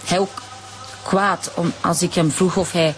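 A woman playing an anxious, deferential middle-aged shopkeeper mother, speaking halting, broken sentences in a radio drama. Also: fairly low pitch, steady tone, soft background music, natural voice. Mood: anxious, apologetic. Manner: halting broken grammar, apologetic. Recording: faint ambient noise.

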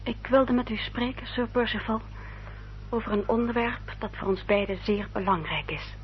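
A woman acting an earnest, dutiful young upper-class lady in a radio drama, speaking formally and with careful, measured diction. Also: fairly high pitch, close to an everyday voice. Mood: solemn, composed. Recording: dull, noisy old radio recording with hum.

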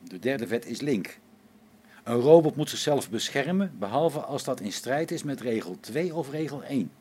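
A man playing a skeptical, wary engineer on a space station in a science fiction radio play, speaking in an informal, expressive way. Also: mid-range voice, moderate pace, slightly rough.

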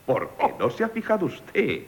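Snooty marquis, radio theatre, castilian spanish, huffy and repeats himself, voice goes all over. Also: male voice, sits high, very animated, normal speed. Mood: admiring, boastful. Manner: pompous, indignant, repeats himself. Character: pompous impoverished marquis, proud of his nobility, jealous suitor. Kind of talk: theatrical 1970s radio comedy acting.

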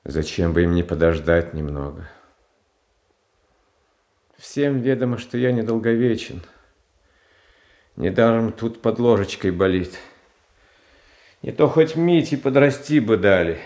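An actor playing a gentle, naive, frail Tsar in a radio stage tragedy, speaking in a plaintive, hesitant way. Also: male voice, lowish voice, expressive. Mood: wounded resignation. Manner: halting, plaintive, hesitant phrasing. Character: gentle, naive, frail, pious Tsar, soft-hearted and pleading. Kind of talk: classical Russian stage tragedy in radio adaptation. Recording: roomy, echoing stage sound.

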